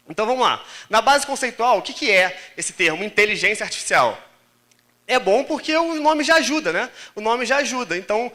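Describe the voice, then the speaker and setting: A Brazilian man speaks as a conference lecturer in an informal, explanatory style. His voice sits high for a man and has a forceful, bright edge. He moves along quickly, with colloquial phrasing and frequent little check-in questions. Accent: Brazilian Portuguese. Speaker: conference speaker and course instructor.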